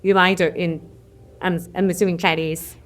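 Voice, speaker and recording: Woman host, lowish pitch, casual and chatty, quick to chime in. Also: little pitch movement. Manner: chatty, laughs easily, quick interjections. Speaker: podcast host. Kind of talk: casual interview talk.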